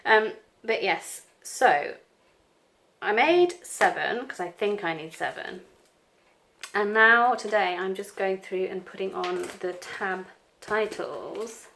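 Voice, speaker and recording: Lady with a cold, croaky gravelly voice, rambly craft chat at her desk, bit of hiss behind. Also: mid-range voice, takes it slowly, a bit bright. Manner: rambling, apologetic, frequent ums. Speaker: planner vlogger. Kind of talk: casual chat over crafting. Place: home craft desk, indoors.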